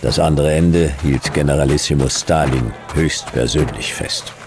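An actor playing a world-weary, sardonic detective, narrating a radio drama in dry, deadpan short sentences. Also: male voice, very deep voice, moderate pace, noisy background.

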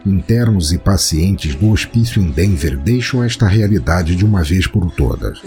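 A man with a Brazilian Portuguese accent narrates a scripted horror story in a measured, solemn, dramatic way. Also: low voice, expressive, dark tone, faint music behind. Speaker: podcast narrator.

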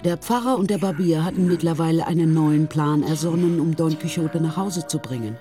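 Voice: medium pitch, a little fast, dark tone. A storyteller reading scripted literary narration for a radio drama, in the past tense. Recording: music behind the voice.

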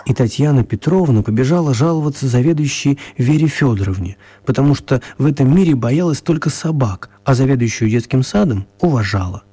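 A man narrating a children's radio story in a measured, expressive way with gentle humour. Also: medium pitch, husky.

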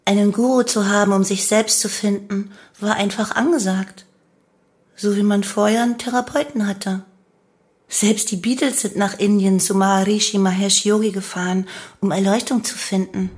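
An actress playing a devoted young follower of a hippie guru, recounting earnestly in a radio drama monologue. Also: female voice, medium pitch, fairly even intonation, moderate pace, a little mellow, natural voice. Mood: matter-of-fact.

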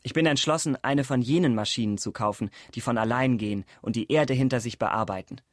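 A man's voice: mid-range voice, a little fast, no echo, studio quality, close to an everyday voice. An actor playing a determined, hopeful farmer laying out his plans in a radio drama monologue. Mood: resolute, hopeful. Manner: resolute, hopeful. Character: determined male farmer planning machines, goats and emigration.

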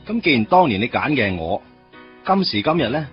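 A man's voice: medium pitch, very animated, fairly quick, muffled recording, soft background music, natural voice. An actor with a Hong Kong Cantonese accent playing a wistful, teasing young man in a radio drama, speaking in a wry, colloquial way. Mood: incredulous, teasing. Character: wistful, teasing young man reuniting with his first love. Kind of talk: radio drama acting with narrated inner monologue.

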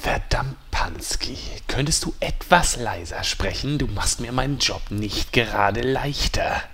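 An actor playing a grumpy, brooding rogue with a terse, muttering delivery in a comedy fantasy audio play. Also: male voice, mid-range voice, animated, measured pace, crowd noise. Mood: irritated.

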